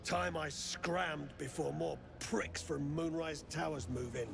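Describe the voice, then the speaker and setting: An actor playing a coarse, swearing male dwarf mercenary boss with a gravelly, bullish voice in a video game. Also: a little high, slow, over music. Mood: gruff.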